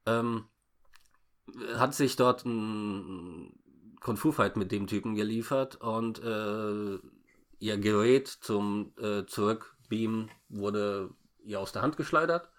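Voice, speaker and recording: Halting, stammering man with lowish voice. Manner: halting, stammering, frequent false starts. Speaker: podcast co-host recapping a film. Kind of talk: casual unscripted plot recap.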